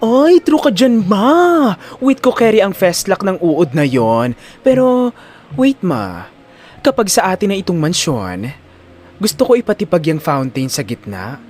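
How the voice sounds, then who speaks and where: A man's voice: high-pitched, very animated, a little slow, a little mellow, heavily acted. A man voicing a greedy, flamboyant young daughter in an audio drama, with sassy slang and theatrical exclamations. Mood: gleeful scheming. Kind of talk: audio drama character acting.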